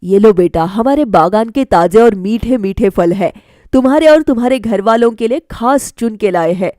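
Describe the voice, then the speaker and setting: A woman's voice: fairly high pitch, animated, moderate pace, mellow, no echo, clean studio sound, lightly acted. A woman voicing a kindly parent of a grown daughter in a family cartoon, giving direct advice. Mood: kindly, advising.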